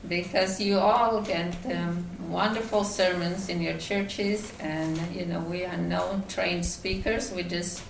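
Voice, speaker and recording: A woman with a Finnish accent gives a devotional talk at a retreat, pausing often with fillers and restarts. Her voice sits low and has a gravelly edge. She is recorded from across a reverberant room, with faint background noise. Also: fairly even intonation, distant mic. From Finland. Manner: halting, many fillers and restarts. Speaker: retreat speaker.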